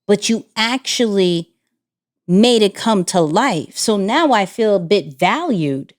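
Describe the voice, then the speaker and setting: A young adult woman co-hosting a podcast in casual chat, animated, with her voice jumping high when she gets excited. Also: takes it slowly. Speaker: podcast co-host.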